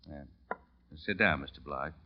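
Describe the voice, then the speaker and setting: Gruff, deep-voiced police sergeant, vintage radio. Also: male voice, a little slow. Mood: calm, doubtful. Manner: clipped, colloquial, businesslike. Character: gruff skeptical New Orleans police desk sergeant. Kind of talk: 1940s radio drama acting. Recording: muffled noisy old-time radio recording with clicks.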